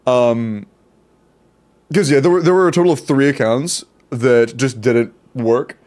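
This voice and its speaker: A man's voice: medium pitch, measured pace. Man, young adult, stuffy nose, laid-back streamer, chatty with fillers. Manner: relaxed, chatty, many fillers and laughs. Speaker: streamer giving account advice. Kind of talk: casual live-stream commentary.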